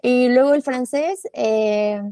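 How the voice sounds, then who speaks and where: A woman's voice: fairly high pitch, takes it slowly, warm tone, smooth, no echo, clean studio sound. A young woman with a Mexican accent, a guest talking through her answers in a casual interview, with many fillers and restarts. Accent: Mexican Spanish.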